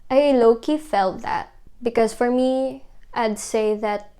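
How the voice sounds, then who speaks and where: A young woman from the Philippines speaking softly and hesitantly in a reflective documentary interview, with many fillers. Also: on the high side, slow pace, smooth.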